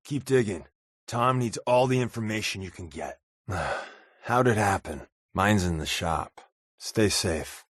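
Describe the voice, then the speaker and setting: A man's voice: on the low side, expressive, takes it slowly, dry recording, clean studio sound. A man playing a wry, wisecracking undercover agent in a video game, with a casual, sardonic delivery. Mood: guarded, dry. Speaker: voice actor playing a game companion. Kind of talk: video game character dialogue.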